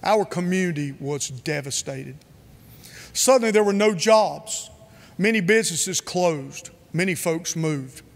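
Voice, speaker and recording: A man from North Carolina, giving an earnest, measured prepared speech with emphatic repetition. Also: a little high, lively intonation, gravelly, long reverb tail. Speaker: speaker.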